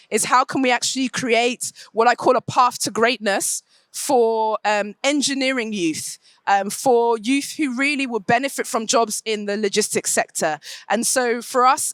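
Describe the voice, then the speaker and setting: A woman's voice: fairly high pitch, fairly bright tone, no echo. A woman with an English accent giving a conference presentation and taking questions, fluent but with frequent ums and restarts. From United Kingdom. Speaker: conference speaker, company head.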